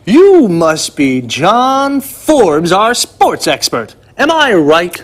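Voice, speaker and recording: A man's voice: sits high, wildly swooping pitch, drawn-out words, full character voice. A man playing a genial TV presenter in a scripted educational programme. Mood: genial, encouraging. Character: genial male TV presenter welcoming guests.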